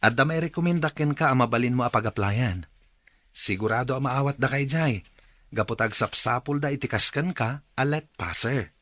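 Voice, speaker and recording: An actor plays a casual, helpful brother-in-law in a radio drama. His bright, dry-sounding voice has a northern Philippine accent. He talks in a persuasive, conversational way. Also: medium pitch, moderate pace, dry recording, natural voice. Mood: encouraging.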